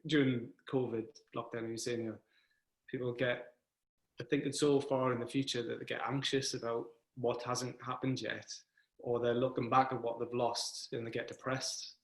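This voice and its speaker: A man co-hosting a casual book chat, talking in a relaxed, hesitant way. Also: mid-range voice, moderate pace, echoey room. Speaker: co-host. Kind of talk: casual conversation.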